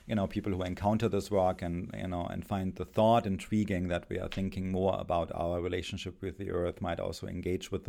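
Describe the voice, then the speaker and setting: A middle-aged man with a German accent, a philosophy professor speaking as a guest, halting and thoughtful with many pauses and restarts. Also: sits low, gravelly, darkish tone. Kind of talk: thoughtful academic conversation.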